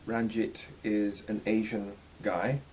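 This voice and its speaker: A man's voice: fairly low pitch, level tone, slow, a little mellow, slight background noise. A man speaking as an actor, informally introducing the characters he plays.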